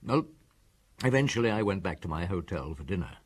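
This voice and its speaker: A man playing an unhurried French police inspector in an audio drama, courteous and ruminative as he questions and recounts. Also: sits low, very animated, husky, heavily acted. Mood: relaxed, musing.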